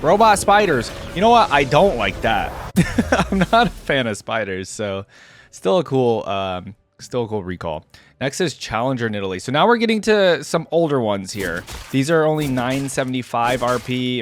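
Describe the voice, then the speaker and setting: A man's voice: mid-range voice, very animated, moderate pace. A man giving casual reaction commentary as a video host, musing and thinking aloud. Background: game music and sound effects.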